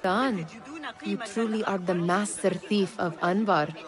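A woman's voice: mid-range voice, measured pace, a crowd in the background, lightly acted. An actress playing a wry, practical young woman, a thief's loyal friend, with dry, teasing delivery in a game drama. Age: young adult. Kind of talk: cinematic video game drama.

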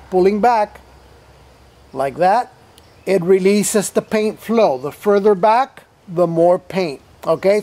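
A man with a fairly high voice walks through an airbrush demo at an unhurried, drawn-out pace. He rambles, piling up fillers and restarts, and drops in playful asides. He is recorded at a tabletop in an indoor studio. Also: darkish tone. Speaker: host of an airbrush tutorial show. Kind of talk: casual product demonstration.

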